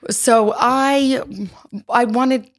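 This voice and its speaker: A woman's voice: high voice, fairly even intonation, drawn-out words. A woman psychotherapist answering interview questions in a thoughtful, articulate way. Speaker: psychotherapist and author.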